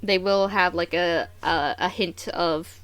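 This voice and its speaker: A woman hosting a reaction video, commenting casually in an animated, exclamatory, slangy way. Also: fairly low pitch, takes it slowly, smooth.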